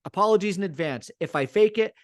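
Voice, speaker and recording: A man's voice: high voice, lively intonation, fast talker, dry recording. A man co-hosting a casual podcast who jokes at his own expense and laughs at his own lines. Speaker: podcast co-host.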